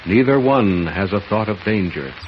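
A man's voice: fairly low pitch, expressive, normal speed, lightly acted. A man acting as a grand, heroic announcer, narrating a 1940s radio serial in a dramatic, declamatory style. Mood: suspenseful. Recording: dull old radio recording, faint hiss.

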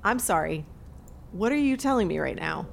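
A woman's voice: lowish voice, animated, normal speed, gravelly, slight background noise. A woman playing a skeptical, sarcastic hometown returnee in an audio drama. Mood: alarmed, sarcastic. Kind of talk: naturalistic audio drama acting.

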